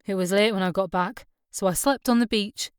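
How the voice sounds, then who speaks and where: A woman reader plays a shy young fisher lad from an island. He speaks politely and a little hesitantly, eager to please, in storybook dialogue with a clear, dry sound. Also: medium pitch, a little fast, no room sound, natural voice. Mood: grateful, polite. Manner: deferential, hesitant. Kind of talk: audiobook character dialogue.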